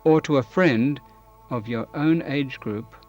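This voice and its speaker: An older man presenting a scripted educational video, explaining in a clear, measured way, filmed in a studio. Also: mid-range voice, darkish tone, dull audio, faint music behind. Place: studio, dark curtain behind.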